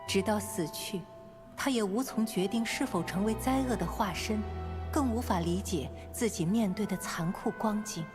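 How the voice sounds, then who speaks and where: Woman as a gentle storybook narrator over prominent music. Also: medium pitch, moderate pace, close to an everyday voice. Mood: storytelling. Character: gentle female storybook narrator of a witch's fairy tale. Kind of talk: scripted storybook narration in a video game.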